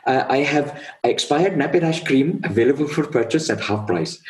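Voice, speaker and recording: A man putting on a character voice: a brash male market hawker shouting his wares. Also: medium pitch, animated, normal speed, pitched above the performer's own voice, natural voice. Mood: hawking.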